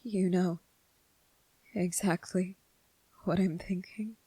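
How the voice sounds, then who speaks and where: A woman voicing a gentle, earnest young male soldier in a soft-spoken, halting ASMR roleplay. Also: fairly low pitch, steady tone, breathy edge, no room sound. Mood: nervous, hushed. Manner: hesitant, halting, repeating phrases, earnest.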